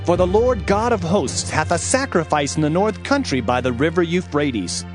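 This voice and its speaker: A man's voice: a little high, expressive, moderate pace, natural voice. A man playing a stern prophet proclaiming God's wrathful judgment in a solemn, declamatory dramatized Bible reading. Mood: fierce, commanding. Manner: solemn, declamatory, archaic oratory. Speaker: dramatized scripture reader. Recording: background music under the voice.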